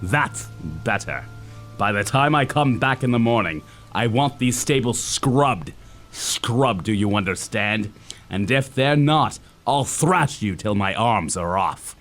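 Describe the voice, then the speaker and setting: A man's voice: on the low side, lively intonation, slow, fairly bright tone, soft background music, exaggerated voice. A man playing a cruel, domineering, jealous young master of the house in an audio drama. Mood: bullying.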